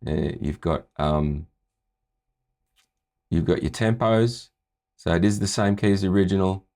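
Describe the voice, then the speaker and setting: A very deep-voiced man teaches music in a loose, chatty way. He talks through each step of a screen-share tutorial and keeps checking in with the viewer. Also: a little slow. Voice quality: low voice. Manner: chatty, relaxed, often checks in with "right?".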